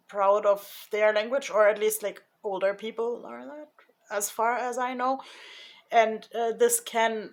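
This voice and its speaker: Slow, hesitant woman vlogger. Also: mid-range voice. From Germany. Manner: hesitant, many fillers and restarts; slow, thoughtful delivery. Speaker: YouTuber. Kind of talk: casual vlog recap.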